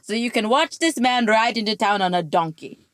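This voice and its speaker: A woman playing a calm biblical mother telling a story in an audio drama. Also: a little high, moderate pace, no room sound, studio quality, natural voice. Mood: explanatory. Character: biblical mother calmly explaining a feast.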